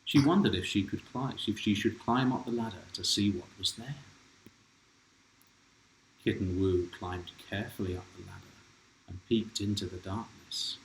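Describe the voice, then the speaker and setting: A man's voice: lowish voice, slight background noise. A man reading his own children's picture book aloud, stopping to ask the listening child questions. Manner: gentle, asks the child and viewers questions. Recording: roomy home room echo.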